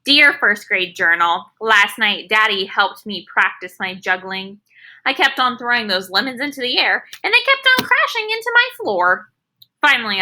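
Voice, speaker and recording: A woman's voice: medium pitch, pushed and bright, a little hiss or hum, full character voice. A woman voicing an earnest, anxious first-grade girl telling her own storybook tale in an emphatic, childlike way. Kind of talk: children's storybook read-aloud.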